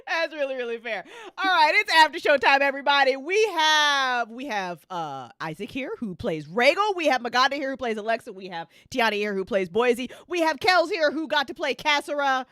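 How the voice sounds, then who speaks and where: A woman hosting a casual after-show chat, excitable and playful, often repeating herself. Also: sits high, a little fast, hardly any pauses, dry recording, clean studio sound. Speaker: host.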